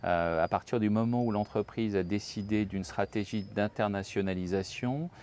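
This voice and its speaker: Low-voiced French man, hesitant talk. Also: moderate pace, darkish tone, a little hiss or hum. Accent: slight French accent in English. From France. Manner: thoughtful, many hesitations and restarts. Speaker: guest, HR professional. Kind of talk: casual interview conversation.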